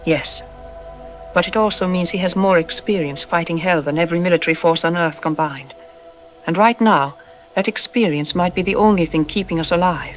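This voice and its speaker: A man playing a troubled archaeology expert in an apocalyptic audio drama news interview, speaking gravely and deliberately with ominous pauses. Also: high voice, faint music behind, close to an everyday voice, rough recording. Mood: solemn.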